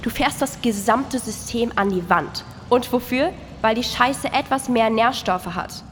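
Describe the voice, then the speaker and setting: Woman doing a bossy cynical lady foreman in a radio drama, high-ish voice, snappy and scornful, big hall reverb. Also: unhurried, close to an everyday voice. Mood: outraged, scornful. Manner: brusque, scornful, commanding. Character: bossy, cynical female worker microbe boss inside a cow, foul-mouthed. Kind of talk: scripted radio play acting. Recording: long hall reverb, faint noise.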